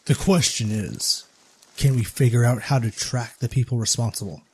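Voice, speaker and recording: A man's voice: mid-range voice, moderate pace, slight background noise, close to an everyday voice. A man playing a blunt, sardonic adventurer in a fantasy audio drama. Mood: challenging. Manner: sarcastic.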